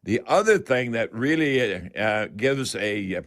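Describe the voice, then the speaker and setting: A man hosting a radio show, giving folksy, unscripted practical advice with halting, explanatory delivery. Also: medium pitch, animated, fairly bright tone. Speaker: radio host and home improvement advisor.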